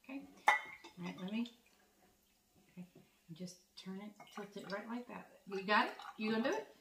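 A woman's voice: medium pitch, normal speed, heavy background noise. A woman in her fifties, a grandmother, chatting casually to camera in her home kitchen, rambling with plenty of fillers. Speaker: farm vlogger, grandmother. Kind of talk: casual vlog chat. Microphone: camera close up, then further off at the counter.

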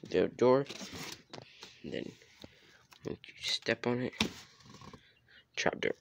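A man's voice: lively intonation, creaky, noisy background. Man mumbling through a phone-filmed tour. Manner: halting, plain listing of items, some low mutters. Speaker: vlogger showing a cardboard house. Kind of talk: casual show-and-tell narration. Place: indoors, small home room. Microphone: handheld phone camera.

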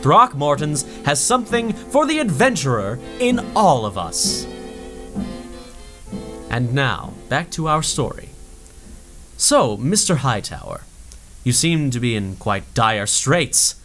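An actor playing an old-time radio announcer reading an ad and introducing the story. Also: male voice, medium pitch, sing-song, slow pace, long pauses. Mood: upbeat. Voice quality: theatrical, salesy. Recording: radio broadcast with music beneath.

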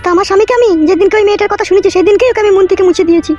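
A woman's voice: very high voice, steady tone, rapid-fire, a little mellow. A woman voicing an emphatic, plain-spoken wronged young wife in a comic cartoon, on a dull recording. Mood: indignant. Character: shrill wronged young married village woman, wary then yielding. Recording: dull lo-fi audio with music.

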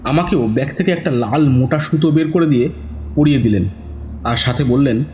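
A man's voice: mid-range voice, brisk, a little mellow, a little hiss or hum, close to an everyday voice. A man playing a devoted young nephew who narrates a horror audio drama in a dramatic, scripted style. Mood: tense narration. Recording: dull lo-fi, music behind.

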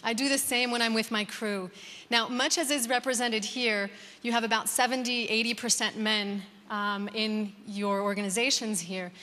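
Bright-voiced American woman in a big hall. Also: fairly high pitch, steady tone, moderate pace. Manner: warm conversational, many "um"s and restarts. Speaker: conference speaker. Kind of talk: conference talk. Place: large conference hall. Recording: reverberant hall.

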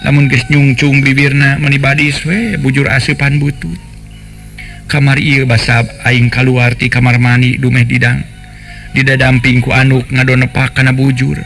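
A man's voice: medium pitch, fairly bright tone, natural voice. A man voicing an anxious young woman who muses and complains in earthy colloquial speech, in a dramatised folk tale. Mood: resentful. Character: anxious young woman, trusting niece, resentful of lecherous uncle. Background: faint music.

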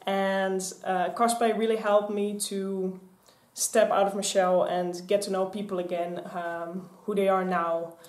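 A young woman in her twenties with a Dutch accent, chatting casually to camera in a rambling, animated way. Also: mid-range voice, measured pace. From Netherlands. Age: 20s. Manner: chatty, rambling, gesturing a lot. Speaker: vlogger. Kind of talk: casual vlog chat. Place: small craft room with shelves and mannequins, indoors. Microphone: camera on a stand in front. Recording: echoey room.

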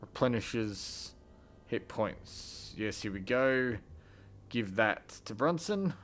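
A man's voice: mid-range voice, animated, takes it slowly, fairly bright tone. A man giving casual let's play commentary as a gaming host, muttering through his decisions as he plays. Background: game music.

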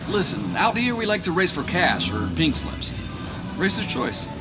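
A man's voice: high-pitched, big pitch swings, moderate pace, over loud music. An actor playing an affable, honorable male street-racing boss in a video game, polite, composed and articulate. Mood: relaxed, guarded. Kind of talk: video game cutscene acting.

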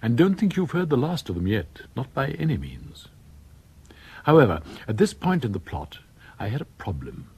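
An actor playing a genial, wry author who confides in listeners as a radio drama's narrator, with a husky voice. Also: male voice, mid-range voice, big pitch swings, normal speed, dark tone, full character voice. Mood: wry, confiding. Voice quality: husky, breathy.

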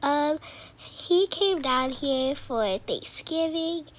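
A woman's voice: high voice, lively intonation, very slow. A young woman giving a casual video introduction for her storytelling channel. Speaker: storyteller of a toy-doll roleplay channel. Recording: clearly noisy home recording.

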